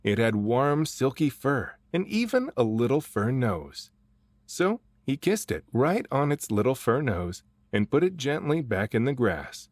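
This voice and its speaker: A man reading a children's picture book aloud in an expressive way, drawing out phrases for dramatic emphasis. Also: medium pitch, measured pace, no echo, studio quality. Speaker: storybook reader and voice actor.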